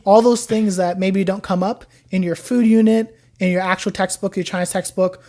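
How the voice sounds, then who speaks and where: Young woman, chatty, casual interview talk, mid-pitched, storytelling. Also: normal speed. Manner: chatty, animated storytelling with frequent "like". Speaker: podcast guest, Chinese learner.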